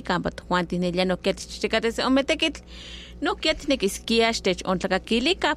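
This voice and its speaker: A woman whose first language is Nahuatl hosts a bilingual radio show, talking in an easygoing way with frequent filler words and friendly invitations to listeners. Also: medium pitch. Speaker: radio host.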